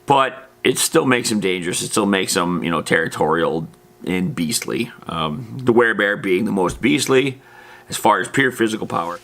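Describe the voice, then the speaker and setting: A man's voice: lowish voice, lively intonation, normal speed, vocal fry, a bit bright. A man giving a casual, unscripted explainer as a host, in an informal, matter-of-fact, rambling way. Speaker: host of a tabletop roleplaying lore channel.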